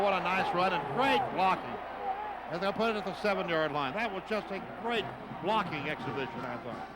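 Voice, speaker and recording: An American man doing live radio football play-by-play in clipped phrases, calling the action as it happens. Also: high-pitched. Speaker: play-by-play announcer. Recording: radio broadcast over clear stadium noise. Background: stadium noise.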